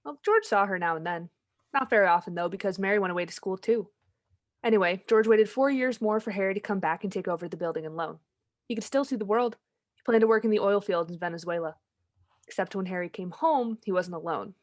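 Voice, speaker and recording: A woman playing a calm, knowing storytelling guide in an old-time radio play, explaining matter-of-factly. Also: medium pitch, fast talker, natural voice.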